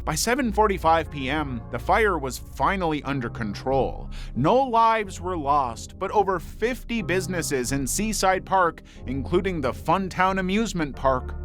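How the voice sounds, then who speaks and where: A man's voice: fairly high pitch, animated, unhurried, hardly any pauses, fairly bright tone. A man reading scripted documentary narration in a dramatic storytelling manner. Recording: voice over prominent background music. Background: music.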